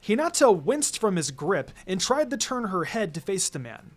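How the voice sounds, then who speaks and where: A man's voice: high voice, very animated, moderate pace. A man reading a story aloud in a dramatic scripted narration style. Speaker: narrator reading fan fiction. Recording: music behind the voice.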